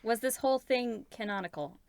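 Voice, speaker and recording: A woman's voice: on the high side, brisk, vocal fry, darkish tone, dry recording. A woman who works as a voice actor, chatting on a livestream panel in a playful, teasing way. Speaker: voice actor on a question-and-answer livestream. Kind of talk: casual panel chat.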